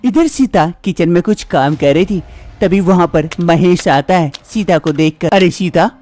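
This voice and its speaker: A woman narrating a cartoon story in an even, steady manner. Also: lowish voice, unhurried, faint music behind.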